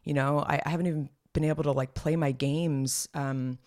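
A podcast co-host in her thirties talks in a loose, rambling way, stopping and restarting her sentences often. Her voice is slightly raspy and hoarse. She sounds relaxed and conversational. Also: deep voice, moderate pace. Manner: chatty and rambling, many fillers and restarts. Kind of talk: casual chat.

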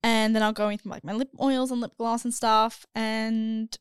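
A woman's voice: fairly high pitch, level tone, normal speed, clean tone, dry recording, clean studio sound. A young woman co-hosting a podcast, chatting casually with a slightly nasal voice and frequent laughs. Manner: chatty, laughs often, trails off mid-sentence. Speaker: podcast co-host. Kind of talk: casual chat.

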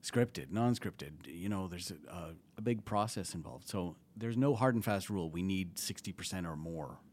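Man, Canadian accent, fairly low voice, casual rambling podcast talk, trails off. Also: expressive, moderate pace, a little mellow. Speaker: comedy festival TV producer, guest.

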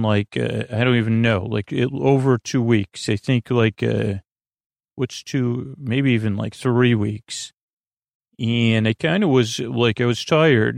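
A man with a creaky, gravelly voice, hosting a bedtime podcast with a meandering, halting ramble full of tangents. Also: medium pitch, dry recording, clean studio sound. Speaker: podcast host. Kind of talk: rambling bedtime podcast intro, casual monologue.

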